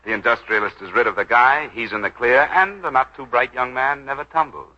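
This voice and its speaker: An actor playing a brash, guilt-ridden young bodyguard in a 1940s radio drama, wry and needling. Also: male voice, mid-range voice, brisk, pushed and bright. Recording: dull lo-fi 1940s radio broadcast.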